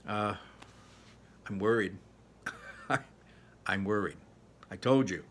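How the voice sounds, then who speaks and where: A man hosting a read-aloud, giving informal, halting commentary on a chapter and repeating himself for emphasis. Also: fairly low pitch, fairly bright tone, slight background noise.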